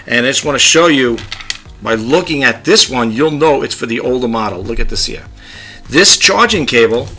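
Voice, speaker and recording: Chatty man demoing gadgets, bright tone. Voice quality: low-key, self-described monotone. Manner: chatty, rambling, demonstrating gadgets. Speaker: YouTube vlogger. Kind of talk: casual tech demo vlog. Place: home desk.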